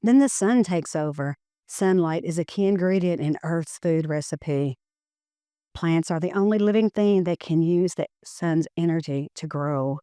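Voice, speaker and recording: Mellow woman reading a children's book aloud. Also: lowish voice, a little slow, clean tone, dry recording, studio quality. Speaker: reader of a children's educational book. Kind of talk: scripted read-aloud of a children's science picture book.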